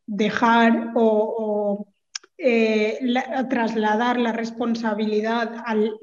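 A woman giving explanatory answers as a political interview guest, speaking in a measured, hesitant way with formal wording. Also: fairly high pitch, darkish tone. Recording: reverberant hall, muffled sound.

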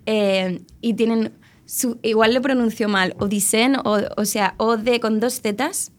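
A woman's voice: on the high side, fairly even intonation, a little slow. A young woman from Alicante, a musician being interviewed, talking in a chatty, rambling way with many fillers and restarts. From Spain, Alicante. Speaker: musician guest. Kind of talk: casual interview chat.

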